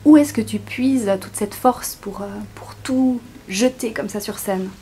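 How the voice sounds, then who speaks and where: A woman's voice: on the high side, measured pace, warm tone, a little hiss or hum. A woman asking informal questions as an interviewer. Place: musical theatre school after rehearsal.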